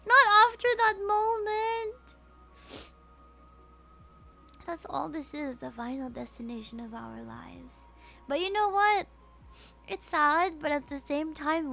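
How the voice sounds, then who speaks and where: A woman's voice: high voice, moderate pace, creaky, bright, forceful tone, faint music behind. A woman livestreaming a story game, reading the subtitles aloud and reacting with open emotion.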